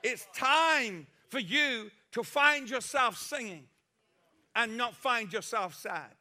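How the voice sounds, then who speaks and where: A man preaching an impassioned sermon with a repetitive, emphatic cadence that rises to shouts. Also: very high voice, animated, slow.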